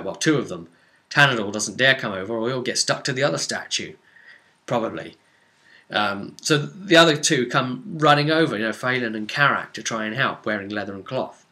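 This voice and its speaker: A man recapping a tabletop role-play session as its game master, telling it excitedly and repeating phrases for emphasis. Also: mid-range voice. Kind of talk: animated session recap storytelling.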